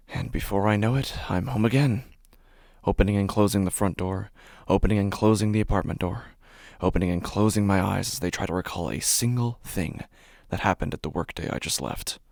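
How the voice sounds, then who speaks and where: A man playing an anxious, obsessive apartment dweller in a horror audio drama monologue, with a gravelly, often breathy voice and intense, deliberate delivery. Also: no echo, clean studio sound. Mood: hurried, unsettled. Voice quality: low, gravelly, often breathy, dropping to whispers. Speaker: horror fiction narrator.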